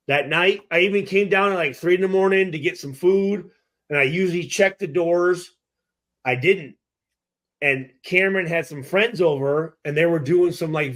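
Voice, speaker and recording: A middle-aged man with a fairly high-pitched voice tells a story in casual, profane language. He halts and repeats phrases as he goes, acting out what was said in the exchanges. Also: fairly bright tone. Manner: halting, repetitive, acts out exchanges. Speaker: podcast guest telling a story. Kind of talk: animated casual storytelling, heavy swearing.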